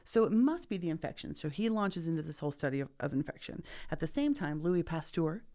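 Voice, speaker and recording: A woman with a somewhat low voice chats on a podcast, retelling a book with animated energy. She drops into little acted-out quotes and laughs easily. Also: brisk. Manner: animated storytelling, acting out quotes, laughs often. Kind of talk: casual chat.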